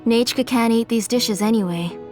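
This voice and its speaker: Game role: a gentle, soft-spoken mother, voiced by an actress, slightly high pitch, music under the lines. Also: normal speed, lightly acted. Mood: calm. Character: gentle mother caring for her ill daughter. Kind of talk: dubbed video game cutscene.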